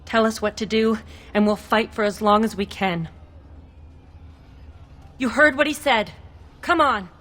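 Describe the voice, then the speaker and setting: An actress playing a brave but frightened townswoman in a video game, urgent and halting. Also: a little high, fairly bright tone. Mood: urgent, anxious.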